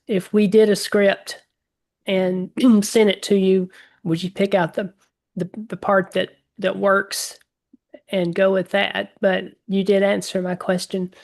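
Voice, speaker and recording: A woman participant speaks casually as she puts a question to the presenters. Her pitch is average and her intonation stays flat and even. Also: takes it slowly, vocal fry. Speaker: workshop participant asking a question. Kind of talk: casual question in an online session.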